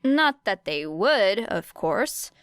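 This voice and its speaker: A woman narrates fiction as an audiobook reader. Her voice is smooth and clear at a medium pitch, recorded close and dry. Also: very animated, slow, fairly bright tone, no echo. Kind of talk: scripted story narration.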